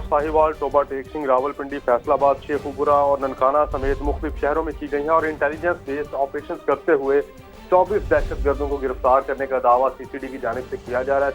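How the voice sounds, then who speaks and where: A man with a Pakistani accent reporting live for the news as a correspondent. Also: on the high side. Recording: muffled live broadcast link.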